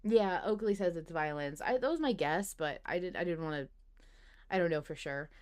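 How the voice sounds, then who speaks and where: A woman with a slightly low-pitched voice talks as a podcast host. She is casual and emphatic, in the loose style of fan discussion, and swears freely. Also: expressive, moderate pace, darkish tone.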